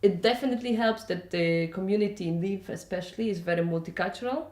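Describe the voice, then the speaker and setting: A woman's voice: medium pitch, fast talker, a little mellow. A woman from Poland with a Polish accent, speaking conversationally and in a rambling way as she answers interview questions. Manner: conversational, rambling, checks in with "you know" and "yeah?". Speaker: interviewee, festival organiser. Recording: echoey room.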